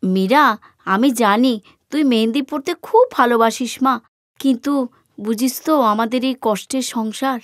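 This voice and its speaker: A woman's voice: a little high, a little slow, no echo, studio quality, close to an everyday voice. A woman voicing a hardworking widowed mother in a cartoon story, scolding but tender. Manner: scolding, then gentle.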